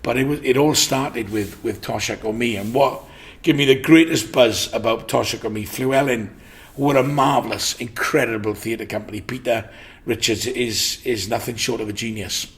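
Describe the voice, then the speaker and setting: An older man with a Welsh accent, reminiscing about his acting career as a warm storyteller who often restarts his sentences. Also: medium pitch, normal speed. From Swansea, Wales. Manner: warm storyteller, brightens and gets louder when enthusiastic, frequent restarts. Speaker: actor recalling his theatre career. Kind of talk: reminiscing in an interview.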